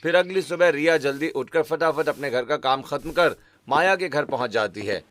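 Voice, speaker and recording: A man's voice: on the high side, moderate pace, fairly bright tone, dry recording. A man narrating a scripted cartoon story as its storyteller. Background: background music.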